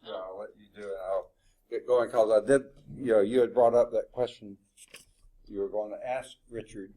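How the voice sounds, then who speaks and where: A man with a loud voice coaching a math team in a classroom, relaxed and encouraging. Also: on the low side, moderate pace. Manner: relaxed, encouraging, many short agreements. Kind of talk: casual classroom talk.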